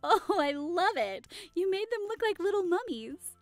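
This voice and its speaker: A woman's voice: very high-pitched, big pitch swings, moderate pace, no room sound, soft background music. A woman playing a friendly, chatty young hostess of a Halloween costume party in an audio drama. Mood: cheerful. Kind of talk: naturalistic audio drama acting.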